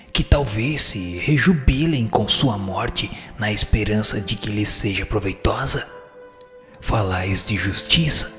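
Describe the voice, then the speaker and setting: A man playing a wise, solemn spirit teacher who admonishes in formal, measured rhetoric in a dramatized book reading. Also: on the low side, sharp tone.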